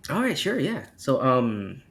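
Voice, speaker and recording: Male guest, average-pitched voice, informal podcast conversation. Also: very animated, measured pace, gravelly. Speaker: guest promoting his own book. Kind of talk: casual podcast chat.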